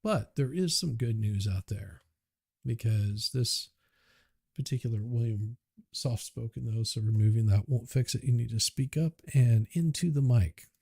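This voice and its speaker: A man's voice: mid-range voice, creaky, a little mellow, slightly rough, dry recording, clean studio sound. A man hosting a livestream, chatting casually and muttering as he tweaks his audio on a cheap USB microphone. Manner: unhurried, chatty, mutters while working. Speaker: livestream host. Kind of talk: casual livestream chat while adjusting audio. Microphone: cheap USB mic.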